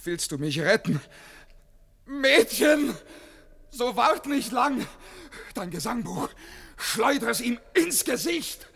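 Brash young braggart, radio drama: sharp, slightly high, deliberate, impassioned and defiant. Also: male voice, big pitch swings, gravelly, husky, heavily acted. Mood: desperate. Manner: theatrical, impassioned. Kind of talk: German radio drama acting.

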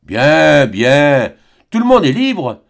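A rough old peasant uncle sneers and taunts at the top of his voice, as a male reader puts him on. His pitch jumps high and swings wildly. It sounds like an audiobook reading. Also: wildly swooping pitch, slow, full character voice. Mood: mocking. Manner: jeering, loud and high.

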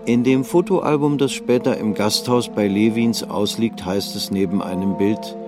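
A man narrating a literary radio drama in a measured, unhurried way. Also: lowish voice, music in the background.

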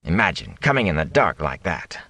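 A man's voice: low voice, very animated, a little fast, bright, forceful tone, no echo, exaggerated voice. A man voicing a shrewd, stout middle-aged innkeeper who is also the village mayor, hearty and wry.

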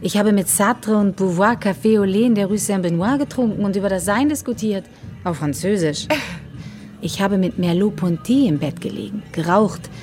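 An actress playing a haughty, jaded bohemian muse in a radio play, disdainful and sardonic, over music. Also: female voice, mid-range voice, moderate pace, darkish tone, lightly acted. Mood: proud, scornful. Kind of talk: naturalistic radio play acting.

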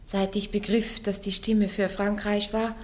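A woman's voice: mid-range voice, little pitch movement, measured pace, close to an everyday voice. An actress playing a blunt, fearless young peasant woman on trial in a radio play. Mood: earnest, firm. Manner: blunt, defiant, plain-spoken.

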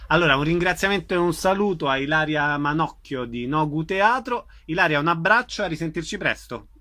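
A man's voice: on the high side, expressive, a little fast, pushed and bright. A man hosting a live radio show, introducing guests and putting questions to them. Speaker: radio host.

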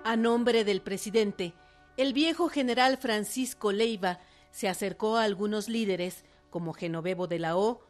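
A female narrator with a Mexican accent reads radio documentary script. Her pitch is moderate, and music plays clearly behind her. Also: measured pace.

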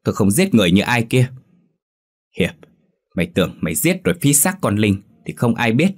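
A heartbroken young man shouts back with defiance and bitterness in a husky, rather low voice. A man puts him on as he reads. It is a spoken storybook. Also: expressive, a little fast, dry recording, clean studio sound. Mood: defiant.

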